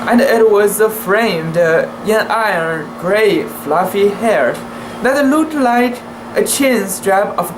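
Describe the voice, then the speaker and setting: Unhurried delivery, mid-pitched voice, careful and halting amateur literary reading, some background noise. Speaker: amateur reader. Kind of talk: dramatic reading of a literary short story.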